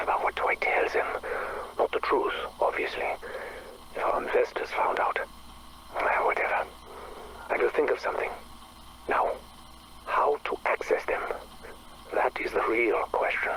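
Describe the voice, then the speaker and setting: Casting: male actor as obsessive, bitter scientist for game audio logs; formal, theatrical diction, breathy and brooding, slightly noisy recording. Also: mid-range voice, a bit bright, close to an everyday voice. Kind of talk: dramatic monologue for a game audio log.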